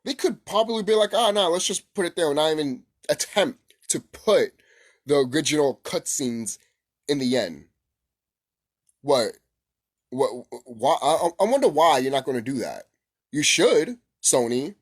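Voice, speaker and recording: A man with a slightly high-pitched voice talks off the cuff like a gaming YouTuber. He is blunt and swears freely, often circling back to repeat his point in a casual, unscripted rant. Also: animated, unhurried.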